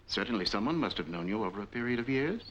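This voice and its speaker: An American actor playing a formal, sceptical bank official in a 1940s film noir, probing with official authority. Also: male voice, lowish voice, animated, fast, a bit bright. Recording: dull, noisy 1940s film soundtrack.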